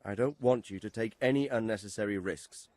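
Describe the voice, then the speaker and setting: An actor playing a cautious, protective nobleman in a medieval game, measured and authoritative. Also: fairly low pitch, a little mellow, dry recording, lightly acted. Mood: concerned, commanding. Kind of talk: video game cutscene acting.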